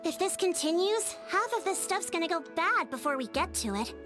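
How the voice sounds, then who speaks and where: Casting: earnest young female chief, very high voice, flustered, anime game, music underneath. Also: lively intonation, a little fast. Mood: weary. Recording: music playing behind the voice.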